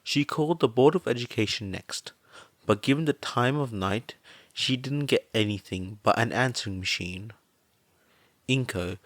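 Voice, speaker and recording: A man's voice: fairly low pitch, no room sound. A man reading a story aloud as scripted podfic narration.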